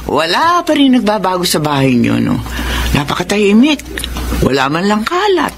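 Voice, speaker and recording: A woman's voice: mid-range voice, huge pitch swings, moderate pace. An older mother-in-law in a Filipino radio drama, pointed and fussy. Her voice swings widely as she insists and meddles. Faint noise sits under the recording. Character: nagging older mother-in-law pressing for a grandchild.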